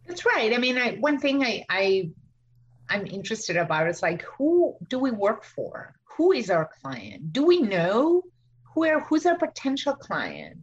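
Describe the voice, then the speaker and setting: A woman's voice: on the high side, huge pitch swings, unhurried, husky, dull audio. A woman from Argentina with an Argentine Spanish accent, an architect being interviewed on a podcast, talking things through with many fillers and restarts. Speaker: architect and author. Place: home studio in Washington DC.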